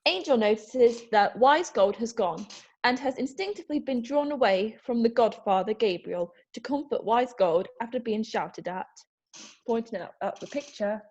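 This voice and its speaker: A woman's voice: on the high side, animated, normal speed, darkish tone, dull audio. A woman reading stage directions aloud in a plain, matter-of-fact way.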